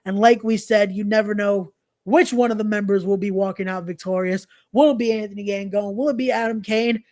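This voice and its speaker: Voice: mid-range voice, fairly even intonation, brisk, darkish tone. A young adult podcast host chatting casually on a live stream, promoting events and often repeating phrases with informal, slangy asides.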